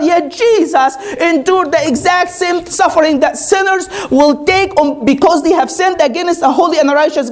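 A woman whose first language is Arabic, preaching a teaching sermon, often asking her congregation "Amen?" and explaining step by step. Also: very high-pitched. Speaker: pastor.

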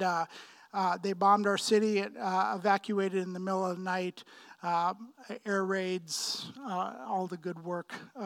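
Man, fifties, from Pakistan, preacher, sermon delivery, slow measured pace, emphatic phrasing, repetition for weight. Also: sits high, fairly bright tone. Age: 50s. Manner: emphatic, deliberate phrasing with rhetorical questions and repetition. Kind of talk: sermon, expository preaching with personal anecdotes.

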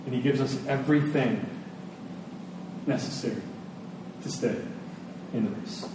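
A man giving a sermon in a conversational way, telling personal stories with emphatic repetition and pauses. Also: medium pitch. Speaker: preacher. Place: large reverberant room with an audience. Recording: echoey hall, distant, faint noise.

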